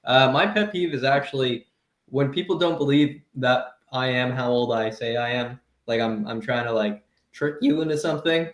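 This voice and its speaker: A man in his thirties co-hosting a comedy podcast, ranting in animated, profane casual chat and laughing as he talks. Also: mid-range voice, normal speed, echoey room. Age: 30s.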